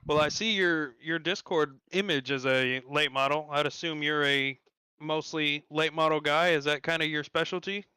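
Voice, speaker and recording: Crisp, bright-voiced race commentator man. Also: a little high, fairly even intonation, moderate pace, dry recording. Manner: easygoing, folksy storytelling. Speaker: race broadcast interviewer. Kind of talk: sim racing commentary and interview.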